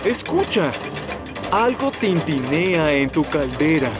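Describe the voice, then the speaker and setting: A man playing a patient, good-humored train driver in a Latin American Spanish cartoon dub, speaking calmly. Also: a little high, takes it slowly. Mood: puzzled. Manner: calm, patient. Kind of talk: animated children's series dub. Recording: music behind the voice.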